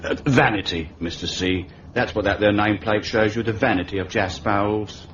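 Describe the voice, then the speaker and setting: An actor playing a wily middle-aged London undertaker with a Cockney accent, ingratiating, wordy and deferential, in a radio detective drama. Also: male voice, fairly low pitch, fairly quick, fairly bright tone, close to an everyday voice. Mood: sly, confiding. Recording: muffled, hissy radio recording, distant mic.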